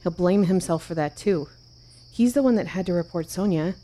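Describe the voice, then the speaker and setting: Woman playing an anxious, guarded wife, low mellow voice. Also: normal speed, close to an everyday voice. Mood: worried. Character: anxious, guarded wife keeping painful secrets. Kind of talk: audio drama acting.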